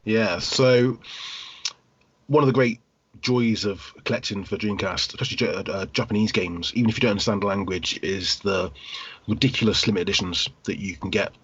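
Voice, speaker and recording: A man co-hosting a casual gaming podcast, husky, rambling and qualifying his points as he goes. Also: lowish voice, normal speed, fairly bright tone, dry recording. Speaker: podcast co-host, game collector. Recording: slightly muffled podcast audio.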